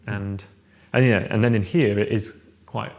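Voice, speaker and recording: Young man, low and gravelly, loose rambling talk, architect giving a home tour. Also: moderate pace, dull audio. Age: young adult. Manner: relaxed, rambling, many fillers and restarts. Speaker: architect guest. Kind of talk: casual chat, house tour. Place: large open-plan house living room, indoors.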